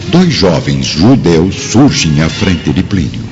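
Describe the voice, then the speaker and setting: A Brazilian man narrates a radio drama with solemn, dramatic delivery. His voice is very low, slightly muffled by a dull recording, with music clearly playing underneath. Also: big pitch swings, slow, in a large hall.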